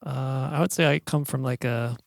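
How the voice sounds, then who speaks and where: A man in a band talking casually in a studio room, halting and filling with "like". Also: medium pitch, gravelly, dry recording. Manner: halting, lots of "like". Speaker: band member. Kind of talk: casual podcast chat.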